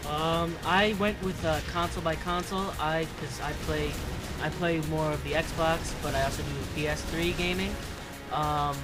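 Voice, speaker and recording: A man's voice: a little high, steady tone, moderate pace, over loud music. A young man with a light voice, rambling casually as a panelist on a gaming discussion show. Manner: rambling, hedging with fillers.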